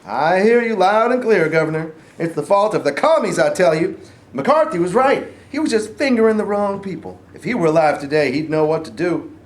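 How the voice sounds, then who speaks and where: Man playing excitable high-voiced alarmist official. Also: fairly quick. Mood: scornful. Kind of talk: staged play reading. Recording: roomy, echoey live stage recording.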